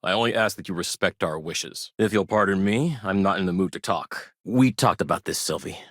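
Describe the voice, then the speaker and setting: A man's voice: low-pitched, a little fast, a bit bright, dry recording, studio quality. An actor playing a protective, wary traditionalist farmer and family man in a space colony game, stern and guarded with folksy politeness. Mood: firm, fatherly. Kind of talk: video game character dialogue.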